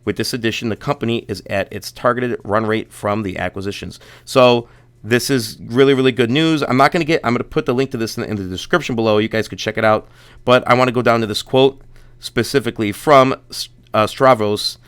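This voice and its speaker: A man's voice: mid-range voice, fairly bright tone, clear, resonant tone. A stuffy-sounding young man talks through a casual investing update. His voice is congested and wearing thin. He rambles and restarts his sentences as he goes. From Buffalo, New York area. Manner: rambling, restarts often, reads quotes haltingly. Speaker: stock channel host.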